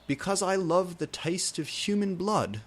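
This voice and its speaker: A man's voice: sits high, big pitch swings, a little slow, pitched above the performer's own voice, exaggerated voice. A man voicing a shy gothic teenage vampire boy in a story, sheepish and melodramatic.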